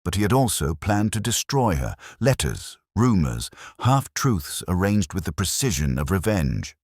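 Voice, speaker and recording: A man reading a scripted first-person detective story in a measured, reflective, literary manner. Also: low-pitched, dry recording, clean studio sound. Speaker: narrator.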